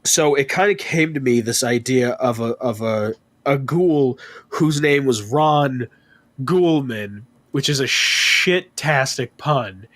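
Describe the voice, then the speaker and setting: A man with a stuffy nose, chatting on a podcast about his tabletop characters, animated and full of swearing. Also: mid-range voice. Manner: animated storytelling, swearing, quick asides. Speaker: podcast guest. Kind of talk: casual chat about tabletop gaming.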